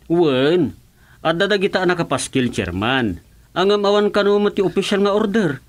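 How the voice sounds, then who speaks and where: Radio comedy role: deferential Ilocano village official, fairly high male register, explanatory delivery. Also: lively intonation, moderate pace. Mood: reporting. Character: village official dutifully reporting to his chairman. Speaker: actor in a radio comedy serial. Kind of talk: Filipino radio comedy drama acting.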